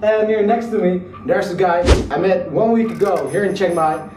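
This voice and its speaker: A man's voice: sits high, moderate pace, noisy background. A man hosting a casual interview, chatty and eager, in slightly broken English. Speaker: interviewer host. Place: outdoors or open room in Chiang Mai. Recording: roomy, distant mic, faint ambience.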